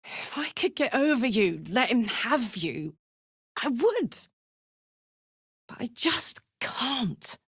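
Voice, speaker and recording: An actress playing a brash, warm-hearted warrior woman in a video game, with an earnest, salty, blunt manner. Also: on the high side, big pitch swings, slow, pauses often, slightly rough, dry recording, clean studio sound, full character voice. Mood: tender, wistful. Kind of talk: video game character voice lines.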